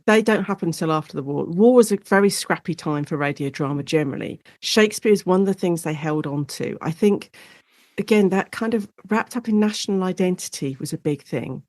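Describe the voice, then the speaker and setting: A British woman speaking as an expert guest in a conversational interview, explaining history in an informal, animated storytelling way. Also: lowish voice, a little fast, no room sound, clean studio sound. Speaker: guest expert, lecturer and author on Shakespeare in radio.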